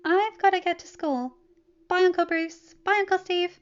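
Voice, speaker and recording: Woman voicing an eager, high-pitched teenage boy. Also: moderate pace, dull audio. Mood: cheerful, affectionate. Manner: excitable, emphatic. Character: eager, affectionate, cheeky teenage superhero boy.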